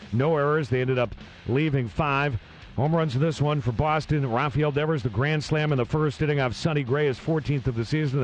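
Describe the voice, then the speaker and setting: Man, mid-pitch, recap narrator, even matter-of-fact delivery, faint noise behind. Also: poor audio. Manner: steady, matter-of-fact summarizing. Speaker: recap host.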